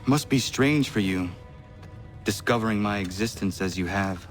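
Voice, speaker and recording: An actor playing a solemn, blunt young assassin, an earnest and brooding son in a video game. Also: male voice, on the low side, moderate pace, soft background music, close to an everyday voice. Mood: guarded. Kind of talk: video game cutscene acting.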